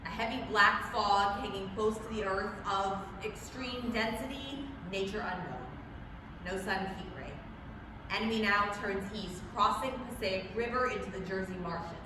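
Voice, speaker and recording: A woman's voice: mid-range voice, natural voice. A woman playing a tense, determined military pilot in a 1930s radio drama reading, with terse clipped delivery, in a noisy reverberant hall far from the microphone. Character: military bomber commander radioing battle reports, tense, determined. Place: public library staged reading before audience.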